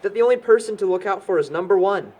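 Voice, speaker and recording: A man's voice: sits high, animated, fast talker, warm tone. An actor playing a defensive, cynical young man in an amateur audio drama, arguing with sarcasm. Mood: defensive confessing. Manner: sarcastic, argumentative.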